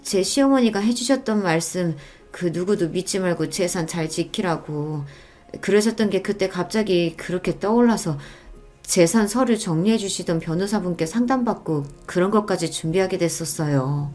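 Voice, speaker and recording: Female narrator for confessional radio stories; polite, plaintive read over soft music. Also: fairly low pitch, moderate pace. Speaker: story narrator. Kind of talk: scripted first-person radio-story narration.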